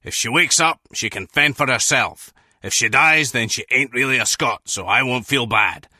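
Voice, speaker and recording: An actor playing a gruff, cocky and callous Scottish mercenary boss in a video game, speaking with a Scottish accent. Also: male voice, mid-range voice, normal speed, sharp tone, dry recording, clean studio sound. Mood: callous, commanding.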